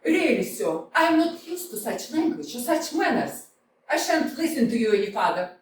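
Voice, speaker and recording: A woman's voice: sits high, very animated, moderate pace. A woman playing a haughty, prim widow. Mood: offended. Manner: formal, clipped, offended. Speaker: actor in a community staged reading. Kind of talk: staged play reading, theatrical. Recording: echoey theater from far off, faint hiss.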